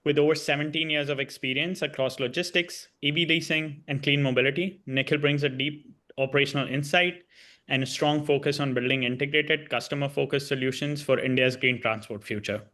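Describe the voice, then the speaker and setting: A man's voice: fairly high pitch, fairly even intonation, fairly quick, pushed and bright. A man introducing the panelists, reading out their introductions in a formal, scripted way.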